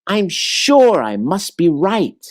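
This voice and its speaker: Storybook donkey played by a man: meek, devoted, earnest, with lively swings in pitch. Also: slow pace, exaggerated voice. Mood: excited, sure.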